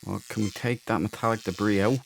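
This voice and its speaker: A man's voice: on the low side, steady tone, a little fast, gravelly, poor audio. A man giving casual, jokey let's play commentary, often muttering to himself. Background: video game music.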